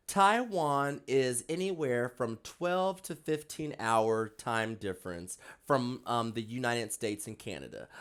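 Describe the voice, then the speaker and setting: A presenter walks new volunteers through a recorded orientation and explains each step patiently. The pitch swings widely, so the delivery sounds animated. The speaker hesitates with "um" now and then and stresses the important reminders. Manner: explanatory, patient, with frequent "um" fillers.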